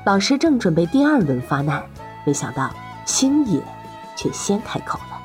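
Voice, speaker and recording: A woman's voice: mid-range voice, big pitch swings, normal speed, a little mellow, faint music behind. A woman narrating a serialized fantasy audio drama as its host, in a dramatic scripted storytelling style.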